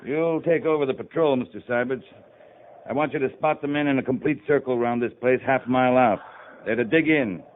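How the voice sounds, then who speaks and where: A man's voice: medium pitch, a little fast, a little hiss or hum, lightly acted. Casting: male actor as frontier cavalry captain, clipped and authoritative, muffled vintage radio sound. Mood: stern. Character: commanding, terse cavalry captain of the frontier West. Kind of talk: 1950s radio western acting. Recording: muffled narrowband 1950s radio recording.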